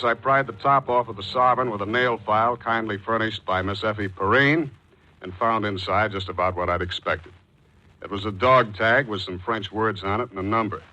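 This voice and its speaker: An actor playing a wry, tough, wisecracking private detective in a 1940s radio drama, narrating in slangy first person. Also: male voice, on the low side, pushed and bright, dull audio. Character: wisecracking hard-boiled male private detective. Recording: vintage 1940s radio recording.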